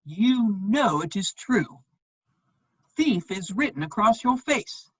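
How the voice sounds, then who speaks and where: Husky, animated aloof gentleman wit, played by a woman. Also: medium pitch, no room sound, highs cut off, heavily acted. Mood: cold, accusing. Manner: dry, measured, epigrammatic delivery. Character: witty, aloof upper-class gentleman, principled and cutting. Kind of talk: dramatic reading of a period comedy play.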